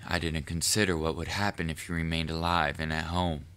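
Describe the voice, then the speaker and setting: A man playing a proud, cunning, lovestruck assassin in an audio roleplay, speaking formally and deliberately to the listener with quiet menace. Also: rumbling low, flat delivery, clean tone. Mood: rueful, intrigued. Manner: formal wording, deliberate, measured. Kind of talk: scripted audio roleplay monologue addressed to the listener.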